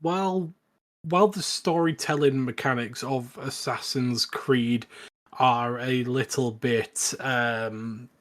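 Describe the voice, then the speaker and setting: Male co-host with a middling pitch, wry and opinionated in relaxed review conversation. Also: slow pace. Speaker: podcast co-host. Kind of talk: casual film review chat.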